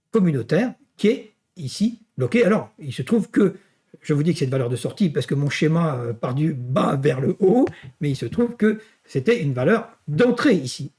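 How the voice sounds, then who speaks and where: A male lecturer with a somewhat high voice and lively, swinging intonation. He explains his material step by step in a didactic way, punctuating it with small check-ins and questions that he answers himself. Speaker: university lecturer. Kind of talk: explanatory finance lecture walking through slides.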